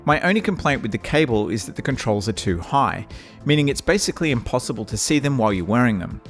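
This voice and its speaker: A man's voice: mid-range voice, very animated, faint music behind. Nasal man reviewing gear. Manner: measured, wry and matter-of-fact. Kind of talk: scripted product review narration.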